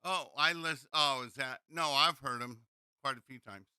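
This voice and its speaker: A middle-aged man with an American accent chatting casually as a podcast co-host, in a halting way that often repeats words. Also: medium pitch, bright, forceful tone. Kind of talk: casual chat.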